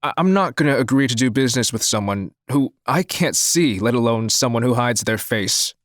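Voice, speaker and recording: A man's voice: mid-range voice, expressive, moderate pace, no room sound, clean studio sound, close to an everyday voice. An actor playing an uneasy, indignant millionaire businessman in an audio drama, wary in his delivery. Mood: nervous, wary.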